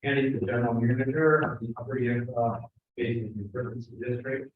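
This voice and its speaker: A man introducing panel speakers at a conference, halting with many fillers and restarts. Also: mid-range voice, steady tone, slight background noise. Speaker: session moderator. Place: conference room. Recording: echoey hall from far off, dull sound.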